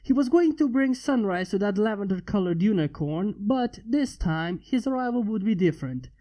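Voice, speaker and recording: A man's voice: high voice, expressive, moderate pace, highs cut off. A man reading a fan fiction story aloud as scripted narration.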